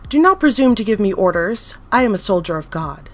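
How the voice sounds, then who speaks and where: A woman's voice: mid-range voice, moderate pace, soft background music. A woman doing a fanatical creature, childlike turned menacing. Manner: flat and odd, then zealous and threatening. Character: eerie male creation of a strange god, childlike then fanatical.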